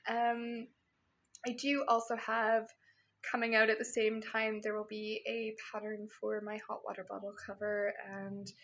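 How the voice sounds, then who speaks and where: A Canadian woman hosts a relaxed knitting podcast from her yarn shop. Her voice is bright and carries a gravelly fry. She talks chattily, with plenty of ums and soft laughs. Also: medium pitch, slight background noise. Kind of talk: casual show-and-tell podcast.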